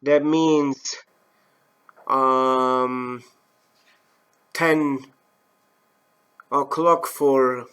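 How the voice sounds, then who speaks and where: A man's voice: mid-range voice, steady tone, very slow, long pauses, a bit bright. A middle-aged man from German-speaking Switzerland with a Swiss German accent, a professional wood turner hosting a live-stream demo from his workshop in English, his third language, with many fillers and self-corrections.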